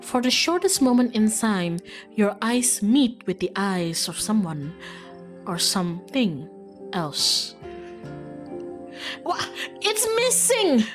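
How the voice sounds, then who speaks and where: Casting: female game streamer, expressive and playful reader-reactor, medium pitch, music in the background. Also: takes it slowly, long pauses. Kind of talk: visual novel playthrough, reading aloud and reacting.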